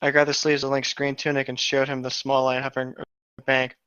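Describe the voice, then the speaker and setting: A man's voice: mid-range voice, monotone, fast, bright, forceful tone, clean tone, dry recording. A man reading a fan fiction story aloud as narrator, breaking into casual banter, on a slightly dull recording.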